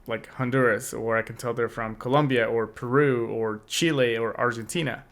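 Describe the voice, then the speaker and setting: A man's voice: mid-range voice, fairly even intonation, normal speed, vocal fry, fairly bright tone. A young adult man co-hosting a podcast, talking in a casual, reflective way with many restarts. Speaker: podcast co-host. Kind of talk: casual chat.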